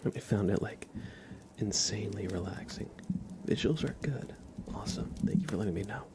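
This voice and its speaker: A man hosting an ASMR livestream, speaking softly and half in whispers close to the microphone, in a gentle, halting, unhurried murmur. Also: lowish voice. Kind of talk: soft-spoken, half-whispered ASMR chat and playful roleplay.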